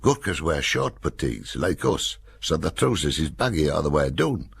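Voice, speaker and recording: A man's voice: a bass voice, big pitch swings, normal speed, creaky, a little hiss or hum, exaggerated voice. A man voicing a blunt, cocky British soldier who grumbles and swears in Cumbrian dialect. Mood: matter-of-fact, knowing. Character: young British soldier Nick, blunt, cocky Cumbrian veteran of jungle war.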